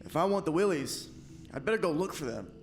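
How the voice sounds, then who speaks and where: Naive young lad for comic radio drama, played by a man: higher-pitched, unbothered, simple-minded, faint noise behind. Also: expressive, a little fast, creaky. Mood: guileless, eager. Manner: guileless, unbothered. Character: naive, fearless, cheerful simple-minded boy hero. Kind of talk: comic radio play acting.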